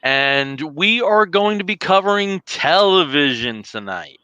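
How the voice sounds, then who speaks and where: A man with a New Jersey accent co-hosting a casual podcast, talkative and quick to joke and laugh. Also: sits high, expressive, pushed and bright, smooth, no room sound, muffled recording. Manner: jokey, talkative, laughs often. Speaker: podcast co-host. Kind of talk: casual chat. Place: home room, dim lighting.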